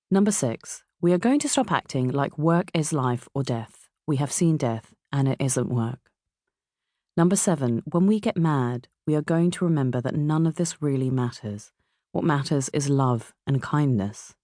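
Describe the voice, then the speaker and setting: A middle-aged woman from England with a southern English accent reads a memoir as its author, in a measured, expressive way. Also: a bass voice, no room sound, clean studio sound. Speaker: author narrating own audiobook. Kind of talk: scripted memoir narration. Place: dry recording studio.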